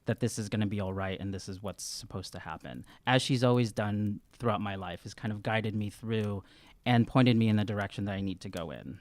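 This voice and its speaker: A man in his thirties plays a tabletop role-playing game, improvising dialogue and description. He has a fairly low voice and an earnest, halting way of talking, recorded close with a dry sound. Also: no echo. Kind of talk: improvised in-character role-play and casual description. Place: small room, indoors. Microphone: close studio microphone on stand.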